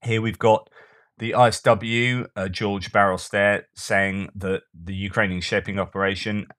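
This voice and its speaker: A man's voice: on the low side, level tone, a little slow, a bit bright, dry recording, studio quality. A man commenting on war news in a casual, thinking-aloud way, with frequent fillers and restarts.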